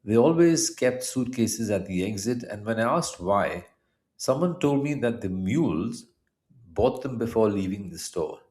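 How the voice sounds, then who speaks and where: Middle-aged Indian man narrating, measured and formal. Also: lowish voice, lively intonation, a little mellow. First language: Punjabi. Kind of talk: scripted narration of a personal history essay.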